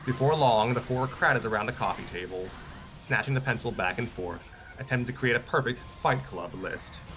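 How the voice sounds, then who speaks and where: A man's voice: medium pitch, moderate pace, background noise. A man casually hosting a livestream, reading a story aloud and chatting in a hesitant, hedging way. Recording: background music clearly audible. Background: music.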